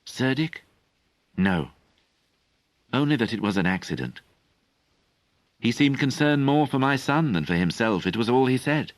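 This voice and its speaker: A man reading an audiobook, voicing a proud, guarded noblewoman who speaks in a measured, indifferent way. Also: medium pitch, big pitch swings, no room sound, pitched above the performer's own voice. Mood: cool, guarded. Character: proud noblewoman, a prince's mother, cool and guarded.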